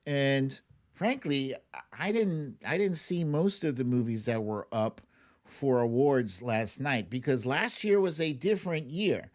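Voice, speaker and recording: A man hosting a livestream with casual commentary, speaking in a halting, conversational way with pauses and emphatic stresses. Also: medium pitch, expressive. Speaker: host of a livestream show.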